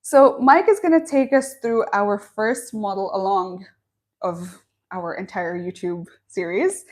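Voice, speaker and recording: Young woman, live-stream host, friendly and chatty, mid-pitch, small office. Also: a little mellow. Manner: chatty, upbeat, reads chat comments aloud. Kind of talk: friendly live-stream introduction and craft tutorial. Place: small office at a bamboo factory.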